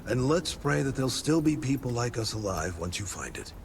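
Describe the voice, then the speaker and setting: An actor playing a kindly frontier priest who speaks in a gentle, fatherly, sermonizing way. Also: medium pitch, big pitch swings, brisk, creaky, a little hiss or hum. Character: kindly folksy frontier padre, preachy.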